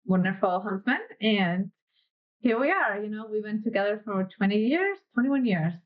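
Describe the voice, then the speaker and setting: A woman in her thirties from Mexico, a podcast guest telling her story in a quick, friendly way. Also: mid-range voice, expressive, highs cut off. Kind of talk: casual interview chat.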